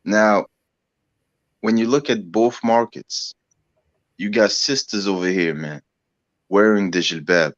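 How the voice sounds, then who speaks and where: Low-voiced sick man in his thirties. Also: dry recording. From France. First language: Algerian family language, not English. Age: 30s. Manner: halting, searching for words. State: sick, coughing. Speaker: guest. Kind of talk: casual discussion. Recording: dry, dull lo-fi audio.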